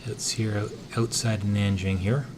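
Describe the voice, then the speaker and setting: A man with a hoarse, somewhat low voice narrates his moves in a strategy game. He thinks aloud in an easygoing way, pausing often on filler sounds. A steady hiss of noise runs under the recording. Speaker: let's-play gamer. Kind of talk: casual strategy game commentary.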